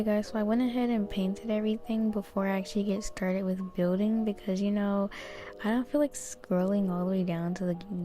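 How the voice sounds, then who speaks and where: A woman's voice: medium pitch, warm tone. A young woman giving casual, chatty commentary over a video game as host.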